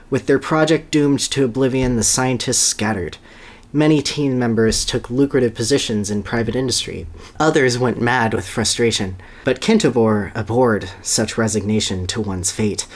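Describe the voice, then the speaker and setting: A podcast host gives a dramatic reading of a story, with casual asides and an amused manner. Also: mid-range voice. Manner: amused, breaks into laughter while reading.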